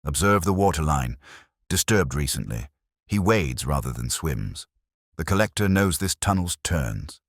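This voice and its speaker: A shrewd, commanding detective read by a male narrator speaks in a very low, husky voice. His phrases are clipped and precise, with lively swings of pitch, as in a mystery audiobook. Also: measured pace, dry recording, clean studio sound, heavily acted. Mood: cool, deliberate.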